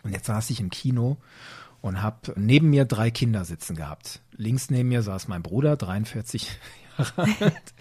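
Heard first is a man in his forties with a husky voice, talking with easy enthusiasm as a podcast host. His pitch sits in a normal middle range, and he laughs often. The recording is dry and close. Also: darkish tone, no room sound. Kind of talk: casual interview chat.

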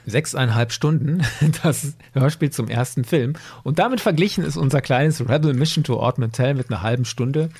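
A man's voice: mid-range voice, moderate pace, hardly any pauses, a little mellow, breathy edge. A man hosting a podcast, talking casually and enthusiastically in conversation. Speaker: podcast host.

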